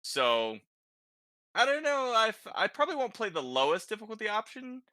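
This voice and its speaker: A man's voice: high voice, big pitch swings, moderate pace, pushed and bright, no echo, studio quality. A man hosting a podcast, chatting casually about video games in an informal way, as if thinking aloud. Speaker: podcast host.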